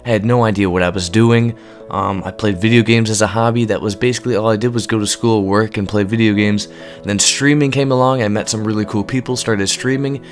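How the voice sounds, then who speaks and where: Hoarse, monotone young man. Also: lowish voice, fairly quick, faint music behind. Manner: rambling, earnest, many ums. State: hoarse, voice going from illness. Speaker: gaming YouTuber. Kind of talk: unscripted casual talk to camera.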